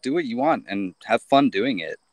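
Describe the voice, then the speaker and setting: A man in a band, a guest on a podcast, answering in a relaxed, laid-back way. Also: medium pitch, normal speed, dry recording. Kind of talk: casual interview chat.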